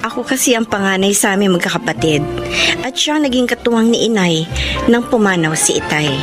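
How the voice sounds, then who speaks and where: High-voiced humble housemaid over music. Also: female voice. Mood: earnest, reflective. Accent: Filipino. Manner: deferential, earnest, expressive. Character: humble, dutiful young housemaid woman, polite, earnest. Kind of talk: dramatized first-person radio drama.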